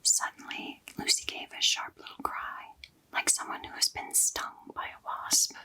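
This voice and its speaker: A woman reading a children's novel aloud in a soft unvoiced ASMR whisper. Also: measured pace. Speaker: storyteller.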